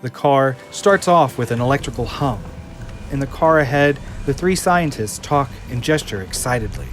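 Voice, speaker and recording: A man reads scripted scene description for an audio drama. His pitch is middling and his delivery is measured storytelling. Music plays clearly behind him. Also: animated. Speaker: narrator.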